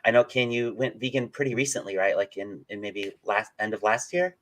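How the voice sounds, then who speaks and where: A man's voice: fairly low pitch, brisk, a bit bright. A man hosting a casual live interview, asking questions in a loose, roundabout, hedging way. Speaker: interviewer, channel host.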